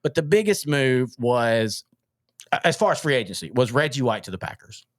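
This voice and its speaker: A man's voice: medium pitch, sing-song, normal speed, no echo, studio quality. Rambling man hosting sports talk. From East Texas. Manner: rambling, frequent restarts and stammered repeats. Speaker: podcast host.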